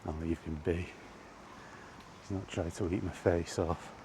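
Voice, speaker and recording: A man giving a casual, halting opinion monologue to a vlog audience, rambling along in short phrases while out walking. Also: deep voice, fairly even intonation, warm tone, a little hiss or hum. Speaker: video blogger. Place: outdoors, walking.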